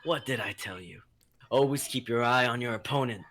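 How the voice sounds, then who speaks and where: A man's voice: medium pitch, fairly quick, fairly bright tone. A man playing a cold, menacing executioner villain in an amateur script reading, taunting and condescending. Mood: menacing, patient.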